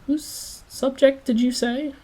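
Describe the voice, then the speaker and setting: A stout, pushy middle-aged businessman, blunt and demanding, as a man puts him on. His voice jumps high and loud, with a gravelly, husky edge. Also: wildly swooping pitch, moderate pace, heavily acted.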